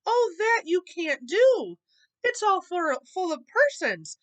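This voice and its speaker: A woman's voice: very high-pitched, sing-song, slow pace, pitched above the performer's own voice, full character voice. A woman playing a kind, earnest male elephant from a storybook, pleading and urgent. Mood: alarmed, pleading. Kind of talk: storybook character voice.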